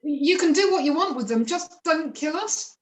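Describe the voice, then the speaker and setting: A woman's voice: sits high, expressive, moderate pace, reverberant. A woman playing a timid, pious peasant father who pleads and grovels in an amateur myth play reading. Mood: pleading.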